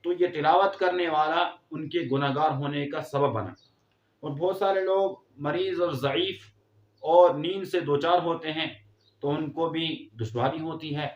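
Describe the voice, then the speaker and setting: A man giving a religious explainer talk as an Islamic teacher, in a measured, didactic way. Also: on the high side. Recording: echoey room, dull muffled sound.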